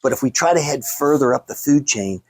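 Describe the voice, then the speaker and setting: A man's voice: medium pitch, expressive, brisk, darkish tone, husky, no echo. An American man hosting a casual on-location interview as a legislator, talking in a rambling way with false starts. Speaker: state legislator and show host.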